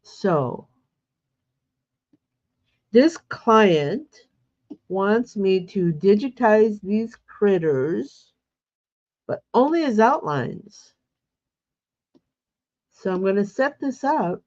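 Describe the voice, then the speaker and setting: Congested woman host, slow chatty livestream. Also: mid-range voice, big pitch swings, darkish tone, highs cut off. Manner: chatty, greets viewers by name. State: congested with a cold. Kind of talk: casual livestream chat.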